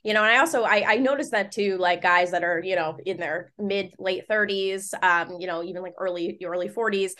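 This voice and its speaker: A woman aged thirty talks as a guest in a casual conversation. Her voice sits at a middle pitch, and she is candid and very colloquial in her phrasing. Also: brisk, hardly any pauses, bright, forceful tone. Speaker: podcast guest. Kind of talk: casual chat.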